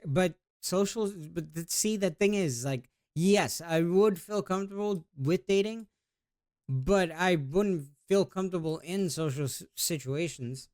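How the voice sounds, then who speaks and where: A man's voice: high voice, unhurried, darkish tone. Rambling, hesitant laid-back guy. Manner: rambling and hesitant, with many restarts and trailing off; laid-back slangy talk. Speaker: YouTuber. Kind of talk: casual vlog, reading questions aloud and answering.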